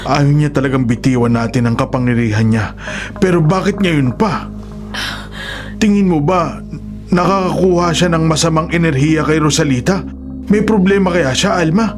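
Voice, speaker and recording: An actor playing a worried older man with a dark past in a radio horror drama, speaking gravely and hesitantly. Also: a little high, moderate pace, lightly acted. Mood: grave worry. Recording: dramatic music bed under the voice.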